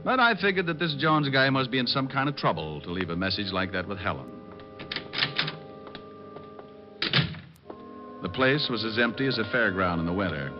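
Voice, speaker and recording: An actor playing a cocky, wisecracking private detective in an old-time radio drama, with a dry, sardonic delivery. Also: male voice, fairly low pitch, big pitch swings, fast talker. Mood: dry, wry. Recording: muffled old radio recording with music.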